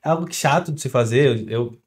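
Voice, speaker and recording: A young adult man with a Brazilian Portuguese accent, a doctor guest on a podcast, explaining with everyday examples in a quick, chatty way. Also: medium pitch. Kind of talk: podcast interview.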